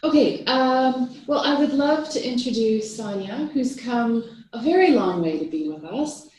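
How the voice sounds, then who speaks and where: A woman's voice: on the high side, expressive, normal speed, a little mellow. A woman leads a workshop round table in a chatty, friendly manner. Her voice is picked up from a distance in a very echoey room, with faint noise underneath. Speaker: workshop host.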